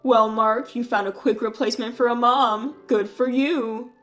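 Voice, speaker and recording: A woman's voice: medium pitch, steady tone, a little slow, close to an everyday voice. A woman voicing a sneering, scornful brother. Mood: mocking. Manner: sneering, taunting. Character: rude, mocking adult brother, loud and scornful. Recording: faint music behind.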